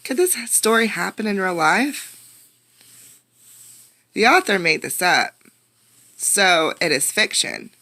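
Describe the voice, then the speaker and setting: A woman reading a picture book aloud as a teacher, expressively, pausing to put questions to young listeners. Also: mid-range voice, no echo. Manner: expressive storytelling, addressing young listeners directly. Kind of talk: interactive children's read-aloud with questions.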